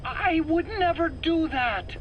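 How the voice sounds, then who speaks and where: A man's voice: very high voice, slow, lo-fi sound. An actor playing a nervous, self-justifying male scientist in a video game interrogation, stammering and earnest. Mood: panicked, desperate. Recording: noisy cassette tape interrogation recording.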